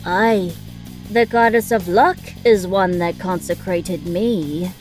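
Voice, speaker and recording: A woman's voice: fairly low pitch, steady tone, slow, a little mellow. An actress playing a jumpy, chaotic merchant blessed by luck in a fantasy audio drama, hesitant and drawing out her words. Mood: wary. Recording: plucked-string music behind the voice.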